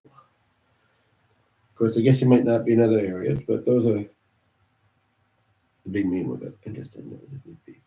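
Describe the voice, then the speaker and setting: A man who is sick and congested, chatting alone on a livestream in a halting way, full of pauses and restarts. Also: fairly low pitch, creaky, dark tone, distant mic. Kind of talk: rambling solo livestream chat. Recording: roomy sound from off-mic.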